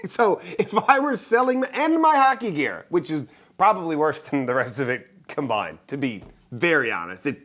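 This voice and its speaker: Animated man telling casual stories. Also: fairly high pitch, moderate pace. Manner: joking, self-interrupting, conversational. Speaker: real estate agent and show host. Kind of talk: casual storytelling.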